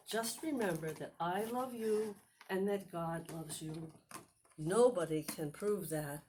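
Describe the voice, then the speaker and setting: A woman voicing a kind, patient male teacher in a children's storybook, speaking in a deliberate, reassuring way. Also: low voice, noisy background.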